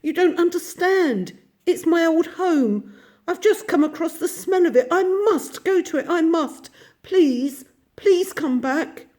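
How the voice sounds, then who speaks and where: A woman voicing a timid, homesick little male mole who pleads and sobs in a high voice. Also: lively intonation, slow, darkish tone, full character voice. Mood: pleading. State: crying.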